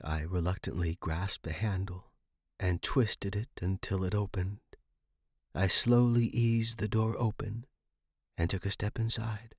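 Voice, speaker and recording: A man's voice: low voice, a little mellow, husky, no echo, clean studio sound. A man reading scripted first-person suspense fiction as a podcast narrator.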